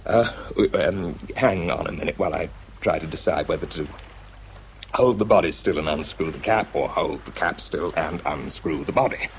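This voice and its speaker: A man acting in a radio drama as an exhausted, shaky, methodical bomb disposal expert, speaking haltingly under strain. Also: extremely low pitch, a little hiss or hum. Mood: tense, concentrating. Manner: halting, hesitant, muttering as he works. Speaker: actor and narrator in a radio drama. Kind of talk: radio drama acting, first-person monologue.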